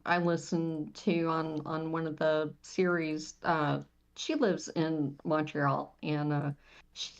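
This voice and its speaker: A woman chatting casually on a podcast, hesitant and measured. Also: low voice. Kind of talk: casual chat. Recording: dull lo-fi sound.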